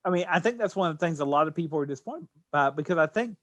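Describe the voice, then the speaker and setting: A man's voice: fairly high pitch, lively intonation, racing, a bit bright, no echo. A middle-aged man chatting casually and opinionatedly about films as a podcast co-host, rambling as he goes. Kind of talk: casual film chat.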